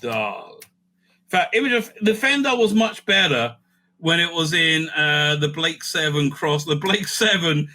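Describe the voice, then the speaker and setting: A man hosting a casual, off-the-cuff ranking commentary, animated and thinking aloud with frequent asides. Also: a little high, unhurried. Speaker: host ranking TV serials.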